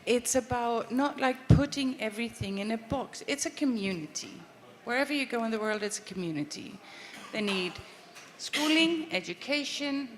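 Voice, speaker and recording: Woman panelist, grew up in Sweden, halting and self-correcting, reverberant hall mic. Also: medium pitch, slight background noise. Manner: thinking aloud, with many ums, restarts and sighs. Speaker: panelist from a government aid agency. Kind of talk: panel discussion answer.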